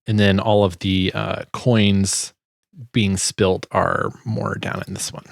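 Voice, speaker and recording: A man giving a casual tutorial as a sound designer, informal and halting as he thinks aloud. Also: low-pitched, level tone, gravelly, no room sound. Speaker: sound designer and video host.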